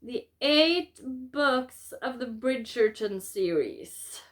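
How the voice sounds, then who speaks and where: A woman's voice: on the high side, animated, extremely slow, fairly bright tone. A woman from Norway with a Norwegian accent, a native Norwegian speaker, chatting casually as she presents books as a vlogger. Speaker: book vlogger presenting a haul. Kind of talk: casual chat to camera.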